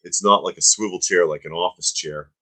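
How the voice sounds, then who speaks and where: Co-host: hoarse man, very low voice, dry jokey asides, camera mic. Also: steady tone, fairly quick, pushed and bright. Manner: wry, joking asides. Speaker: co-host of a toy livestream. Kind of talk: casual livestream chat. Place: home toy museum room. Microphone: camera-mounted mic.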